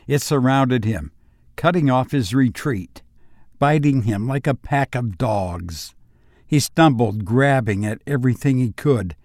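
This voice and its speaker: Slow, suspenseful male horror narrator. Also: mid-range voice, darkish tone, dry recording, studio quality. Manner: deliberate, suspenseful pauses. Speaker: narrator and host of audio story show. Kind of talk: dramatic scripted horror narration.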